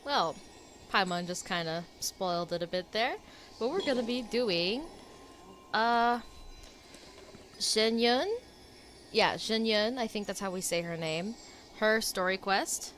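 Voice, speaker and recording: A woman's voice: medium pitch, slow, pauses often, fairly bright tone, slight background noise. A woman streaming a video game, giving casual reaction commentary as the story plays.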